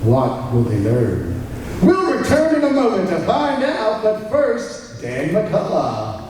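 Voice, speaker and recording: A man's voice: high-pitched, wildly swooping pitch, slow, a little mellow, exaggerated voice. A man playing a suspenseful narrator in the style of a 1940s radio serial. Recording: echoey hall, distant mic, faint noise.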